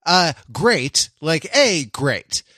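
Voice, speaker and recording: A man co-hosting a podcast, chatting casually in a thoughtful, halting way as he works out his phrasing. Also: a little high, big pitch swings, sharp tone, no room sound, clean studio sound. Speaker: podcast co-host. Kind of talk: casual film chat.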